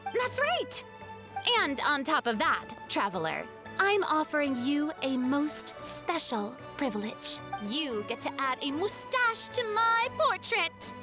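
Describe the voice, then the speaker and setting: A woman's voice: high-pitched, wildly swooping pitch, takes it slowly, exaggerated voice. A woman playing a theatrical, exuberant young female director with flamboyant delivery in an anime game. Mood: proud, cheerful. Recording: music behind the voice.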